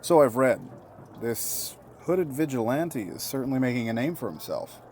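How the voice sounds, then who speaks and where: An actor playing a polite, guarded man in an audio drama, with measured and formal phrasing. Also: medium pitch, animated, a little mellow, slight background noise, natural voice. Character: grieving, guarded, polite male friend.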